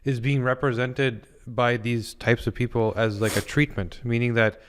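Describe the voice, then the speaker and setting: Clear-voiced man hosting a podcast. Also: mid-range voice, flat delivery, normal speed. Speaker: podcast host, interviewer. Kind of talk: casual conversational interviewing.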